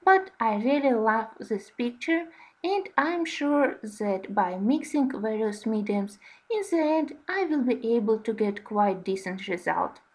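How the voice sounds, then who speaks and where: Female tutorial narrator, native Russian speaker, fairly high pitch, unhurried and careful non-native delivery. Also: lively intonation. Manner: careful, slightly halting phrasing in non-native English. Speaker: host of a coloring tutorial channel.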